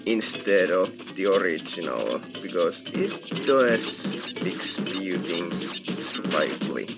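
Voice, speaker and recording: A man hosts a casual let's play, explaining as he goes in non-native phrasing. His voice is low and unhurried, with clear game music behind it. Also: very animated. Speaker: gaming channel host. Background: video game music.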